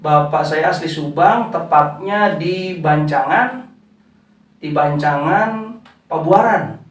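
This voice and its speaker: A man in his thirties from West Java, giving unhurried interview answers full of small fillers, and he calls the interviewer "Pak". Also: on the high side, distant mic. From West Java, Indonesia. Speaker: founder of a community organization. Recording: echoey room, voice from off-mic.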